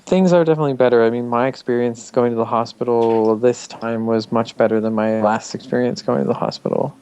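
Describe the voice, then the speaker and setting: A man with a nasal voice, speaking as a musician guest in a casual interview, open and reflective, often pausing with ums and restarts. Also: medium pitch, fairly even intonation, darkish tone, clean tone, muffled recording. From Canada.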